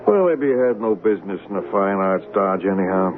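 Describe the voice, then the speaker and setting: Lowish-voiced, wisecracking underworld pal. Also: male voice, expressive, brisk. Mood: wry, teasing. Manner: slangy, teasing, wisecracking. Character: wry, confident male underworld pal, a wisecracking fixer. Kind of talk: old-time radio drama acting. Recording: tinny old radio with music behind.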